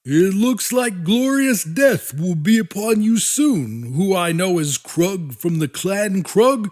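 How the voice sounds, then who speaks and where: A man's voice: high voice, animated, slow pace, darkish tone, exaggerated voice. A man voicing a savage clan fighter, a living bullet, who speaks in a peculiar, repetitive formal cadence. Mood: stiff, insistent.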